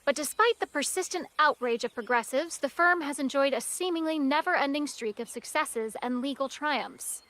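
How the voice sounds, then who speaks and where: An actress playing a polished, serious TV news reporter, delivering a scripted report with measured emphasis. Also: female voice, high-pitched, a bit bright. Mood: formal, serious.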